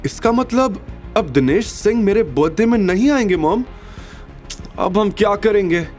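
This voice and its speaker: A man's voice: sits high, expressive, a little slow, darkish tone, pitched above the performer's own voice. A man voicing an anxious, spoiled young woman with a whiny manner. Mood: panicky. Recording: music bed behind.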